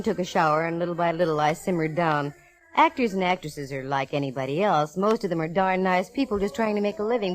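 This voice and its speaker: A low-pitched American female private eye, played by an actress in a 1940s radio mystery. She is sassy and hard-boiled, with a wry, wisecracking way of talking. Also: natural voice, low-quality recording. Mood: wry narration. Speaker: lead actress and narrator. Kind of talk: 1940s radio detective acting with wry first-person narration.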